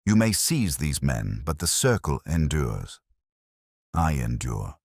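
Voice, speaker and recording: A man voicing a serenely menacing male cult leader in an audiobook, measured, defiant and relentless. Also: rumbling low, big pitch swings, darkish tone, slightly rough, no room sound, clean studio sound, exaggerated voice. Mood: calm defiance.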